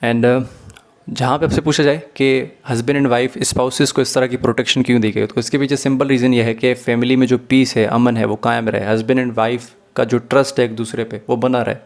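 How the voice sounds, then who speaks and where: A man's voice: mid-range voice, little pitch movement, normal speed. A man with a Pakistani accent giving an explanatory law lesson, speaking didactically to students and slipping into English phrases. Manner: didactic, addresses students directly. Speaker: law lecturer. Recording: clear background noise and hum.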